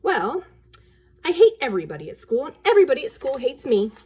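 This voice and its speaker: A smug little tattletale girl from a storybook, acted out by a woman. The voice is high and slightly husky, whiny and self-righteous, jumping around in pitch. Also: huge pitch swings, fast talker, a little mellow, heavily acted. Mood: sullen. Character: bratty, smug nine-year-old tattletale girl. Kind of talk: children's storybook character voice.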